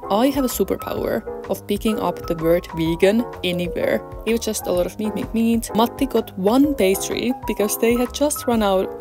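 A woman's voice: mid-range voice, moderate pace, hardly any pauses. A young adult woman vlogger giving a casual voice-over narration of her day out. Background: background music.

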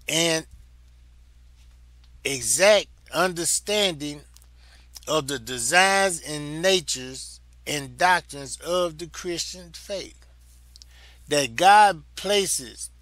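An older man with a Southern US accent, teaching a Bible lesson in a slow, deliberate way and repeating phrases for emphasis. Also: a little high, sharp tone, no room sound. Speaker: Sunday school teacher.

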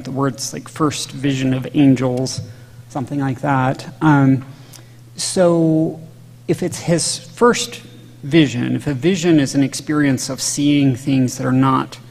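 A man's voice: medium pitch, fairly even intonation, slow pace, gravelly. A middle-aged man giving an earnest, explanatory conference lecture at a lectern in a large hall, sometimes correcting himself mid-sentence. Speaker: conference speaker. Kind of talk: conference lecture on church history. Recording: long hall reverb.

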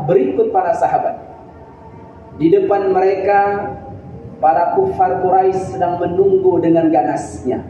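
A man in his thirties delivers a Friday sermon as an earnest storyteller, pushing his voice up for emphasis. His slightly high, very dark and mellow tone sits far from the mic in a very echoey room. The webcam sound is dull and lo-fi, with a faint hiss. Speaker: Friday preacher. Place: room in front of a green-screen backdrop.

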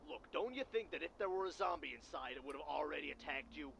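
A man voicing a bossy, impatient cartoon animal schemer in a game, pushy and sarcastic, with a gravelly voice. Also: fairly high pitch, expressive, quick pace, a bit bright, muffled recording, slight background noise, exaggerated voice.

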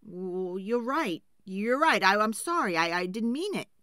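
Woman to play folk-tale woodcutter: meek husband, hesitant, pleading, bright tone, lively swings. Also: mid-range voice, unhurried, no echo, studio quality. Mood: apologetic. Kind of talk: storybook character voice.

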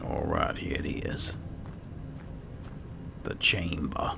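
Very deep, gravelly, slow-talking man. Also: fairly even intonation, background noise. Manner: unhurried, musing to himself. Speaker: gaming host, role-playing as his character. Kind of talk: casual in-character game playthrough commentary.

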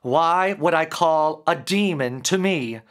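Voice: medium pitch, slow pace, natural voice. A storyteller voicing a frightened, grieving man who demands answers in a tense voice.

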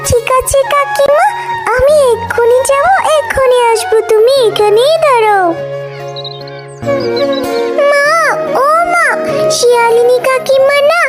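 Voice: extremely high pitch, slow pace, long pauses. A performer voicing an eager, wheedling little girl animal in a children's cartoon story, in a childlike singsong. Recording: loud music behind the voice. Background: loud music.

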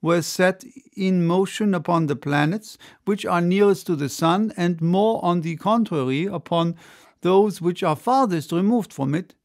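A man's voice: sits high, measured pace, darkish tone. A man voicing a bold, grandiloquent orator addressing a crowd in an emphatic, declamatory manner. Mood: courteous, reasoning.

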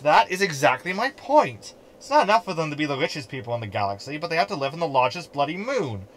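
A man's voice: fairly high pitch, very animated, fast talker, a bit bright, slight background noise. A man playing a sarcastic, scheming hologram in a sci-fi comedy audio drama, wordy and mocking.

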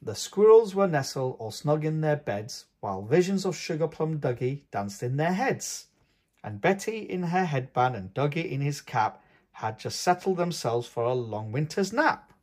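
A man reading a picture book aloud for young children, with expressive, singsong storytelling. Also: on the high side, normal speed. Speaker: host of a children's read-aloud channel.

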